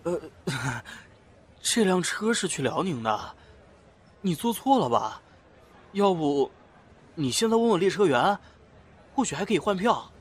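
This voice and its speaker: A man's voice: high voice, big pitch swings, measured pace, pauses often, a little hiss or hum. An actor playing a polite, jumpy young man in a horror audio drama. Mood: puzzled, polite.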